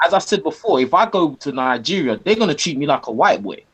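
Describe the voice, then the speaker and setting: A man's voice: on the high side, very animated, quick pace, a crowd in the background. A man from England, talking as a podcast guest and checking in often with "do you know what I mean". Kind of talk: casual podcast discussion.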